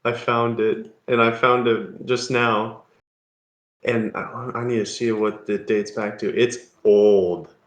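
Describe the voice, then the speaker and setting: A young man in a casual podcast chat, talking in a slow, distracted way. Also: lowish voice, little pitch movement, reverberant. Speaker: podcast guest.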